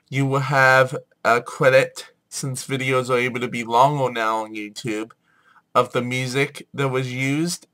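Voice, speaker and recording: A man's voice: medium pitch, fairly bright tone. A man hosting a casual explainer video while he has a head cold and a sore throat, talking in an informal, apologetic way. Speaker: video host.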